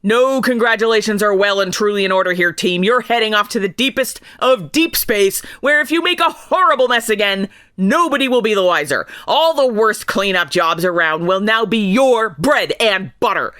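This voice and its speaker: A woman playing a gruff, sarcastic, bullying military commander in an audio drama, barking with open contempt. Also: medium pitch, animated, moderate pace, few pauses, a bit bright, dry recording, studio quality, lightly acted. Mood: angry, sarcastic.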